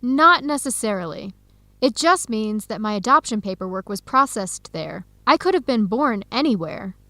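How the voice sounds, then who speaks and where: Earnest young female researcher, high-pitched voice, dry close sound, clear conversational radio drama delivery. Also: animated, moderate pace, sharp tone, no echo. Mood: excited, matter-of-fact. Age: young adult. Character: earnest young American woman researcher searching for her birth family. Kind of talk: scripted educational radio drama dialogue.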